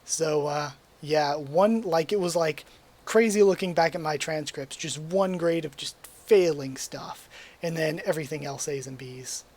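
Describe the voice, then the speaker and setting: A man's voice: a little high, unhurried, darkish tone. A young man losing his voice, chatting casually at his webcam as he reads and answers a quiz, rambling with lots of ums and likes. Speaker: YouTuber. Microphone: desk mic at a webcam setup.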